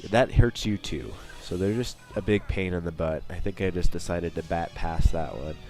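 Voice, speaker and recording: Young male let's-play host, bass-low voice, stuffy with a cold, rambling and wry. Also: normal speed, over music. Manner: rambling, self-mocking, chatty. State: sniffling from a cold. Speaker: gaming channel host. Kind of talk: let's play commentary over gameplay.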